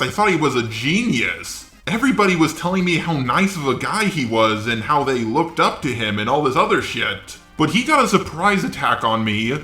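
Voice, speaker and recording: A man's voice: on the high side, bright, forceful tone, roomy sound. A man delivering blunt, sweary, opinionated commentary as a video host, often asking rhetorical questions. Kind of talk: opinionated drama commentary, talking to camera audience.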